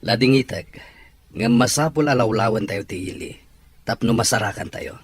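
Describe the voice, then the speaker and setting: A man playing a calm, reassuring helper in an audio drama. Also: medium pitch, normal speed, breathy edge, dry recording, natural voice. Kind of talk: amateur audio drama acting.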